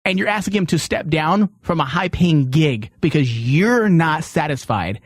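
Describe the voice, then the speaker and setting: A man's voice: a little high, lively intonation, moderate pace, no echo. Opinionated male host, dry close sound. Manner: opinionated, swears casually. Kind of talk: casual chat, storytelling.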